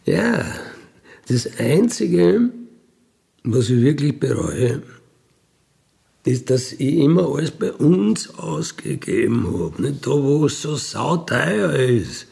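A wistful ex-robber muses in an intimate, naturalistic radio play monologue. He talks very slowly and haltingly, with a gravelly, creaky edge, often trailing into murmurs as he dreams of being free. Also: mid-range voice, darkish tone, full character voice. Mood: regretful, nostalgic. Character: wistful dying ex-robber dreaming of freedom in Siberia.

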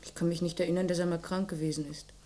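A woman's voice: fairly low pitch, level tone, fast talker, mellow, lightly acted. An actress playing a guarded, hesitant young woman who answers briefly in a German radio crime play. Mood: reserved. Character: guarded young woman, murdered man's daughter, reticent. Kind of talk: mid-century German radio play acting. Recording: noisy vintage radio drama recording.

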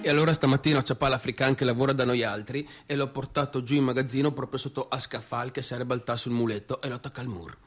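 An actor playing an obsessive, aggrieved young factory boss in a theatrical monologue, with a northeastern Italian accent and halting, repetitive, colloquial speech. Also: male voice, medium pitch, steady tone, faint music behind, lightly acted. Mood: agitated, menacing. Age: young adult.

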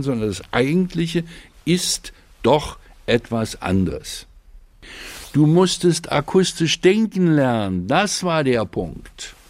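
An older man, a veteran radio maker, explaining his craft in an emphatic, deliberate and instructive way. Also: fairly low pitch, darkish tone, no room sound. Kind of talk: emphatic, reflective explaining in conversation.

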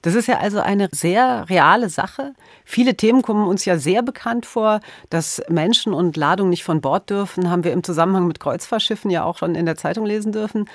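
A woman's voice: medium pitch, brisk, no room sound, studio quality. A woman speaking as a radio host, presenting and asking prepared questions in an interview style.

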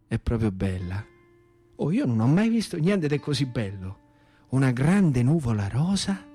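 An actor playing a naive, lame country youth recalling wartime in a theatrical radio monologue, colloquial and repetitive, with a central Italian accent. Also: male voice, medium pitch, a little mellow, husky. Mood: wistful, wondering. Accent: central Italian, Lazio. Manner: colloquial, breathless, repetitive, wide-eyed.

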